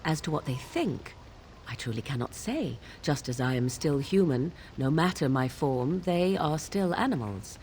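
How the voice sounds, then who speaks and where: A woman voicing a proud, aloof young witch of the wilds in a fantasy game, speaking in archaic, measured, formal phrases. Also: sits low, lively intonation, darkish tone, a little hiss or hum. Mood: guarded, musing. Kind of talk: fantasy video game dialogue.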